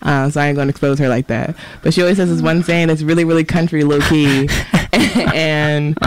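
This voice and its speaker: A woman's voice: low voice, fairly even intonation, brisk, background noise. A woman about twenty with a southern accent, co-hosting a college radio show in casual, giggly chat full of slang. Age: 20s. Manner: chatty, giggly, loose slang. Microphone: studio microphone.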